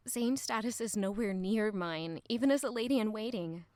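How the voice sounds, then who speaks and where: A woman's voice: medium pitch, normal speed, darkish tone, dry recording, close to an everyday voice. An actress playing a guarded, wistful young lady-in-waiting in an audio drama, speaking earnestly and introspectively. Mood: pained, resigned. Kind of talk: audio drama narration and dialogue.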